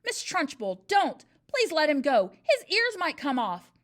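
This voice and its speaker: Woman doing a gentle pleading young teacher. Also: very high-pitched, huge pitch swings, normal speed, voice pushed up, full character voice. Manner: pleading, anxious.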